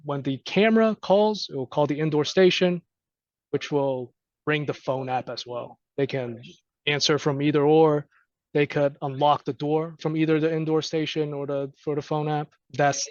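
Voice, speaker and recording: A man with a slightly high voice leads a technical training session. He talks informally and thinks aloud through each step of an unscripted walkthrough. The sound is dull and lo-fi. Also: no echo. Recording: dry, dull lo-fi audio.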